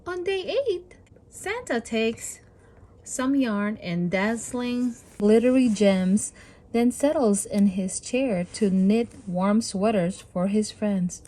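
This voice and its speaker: A woman reading aloud to young children as a storybook host, in an expressive, deliberate and encouraging way. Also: a little high, low-quality recording.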